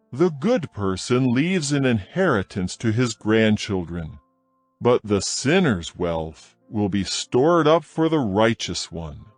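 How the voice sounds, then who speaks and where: A man reading scripture in a slow, measured, expressive way. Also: lowish voice, no echo. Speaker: scripture reader.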